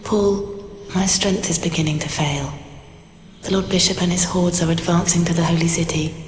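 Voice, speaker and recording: An actress voicing a solemn, saintly young spirit woman who guides a knight in a video game, speaking in a measured way with cavernous reverb. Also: fairly low pitch, fairly even intonation, natural voice. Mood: sorrowful, urgent. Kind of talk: video game cutscene acting. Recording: cavernous echo with long reverb. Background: music.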